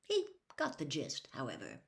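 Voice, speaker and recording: A woman narrating a story as a dramatic audiobook reader, expressive and deliberate. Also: low voice, creaky.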